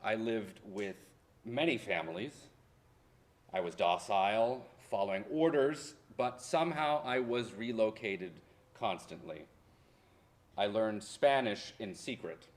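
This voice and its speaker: Low-voiced haunted professor, played by a man. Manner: dramatic, deliberate, swelling and dropping for emphasis. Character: haunted Mexican-born history professor orphaned by deportation, wounded, defiant. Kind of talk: theatrical monologue in a staged reading.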